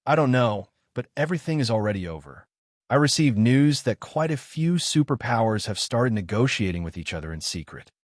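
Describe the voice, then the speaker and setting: A man's voice: mid-range voice, very animated, fairly quick, no room sound, clean studio sound, close to an everyday voice. A man voicing a dismissive, assured, scheming guild master in a fantasy audiobook.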